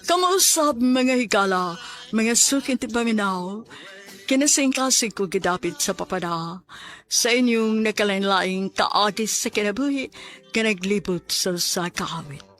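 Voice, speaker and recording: A woman's voice: mid-range voice, animated, a little slow, fairly bright tone. A woman with a Cebuano accent reading a scripted outro as host of a radio program. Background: music.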